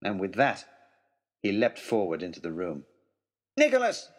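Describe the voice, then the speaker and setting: A man's voice: low-pitched, moderate pace. A man narrating an adventure story in the first person with dramatic, theatrical expression. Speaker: audiobook narrator.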